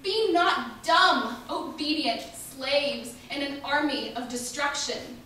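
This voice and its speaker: A woman's voice: high-pitched, slow pace, sharp tone. A young woman reading an impassioned political speech in a declamatory, emphatic manner. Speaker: reader of a historical speech. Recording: echoey hall from far off, faint noise.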